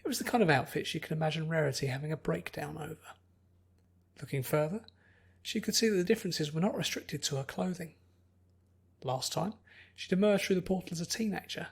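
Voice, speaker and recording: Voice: medium pitch, brisk, gravelly, breathy edge. A narrator reading scripted fiction as an audiobook.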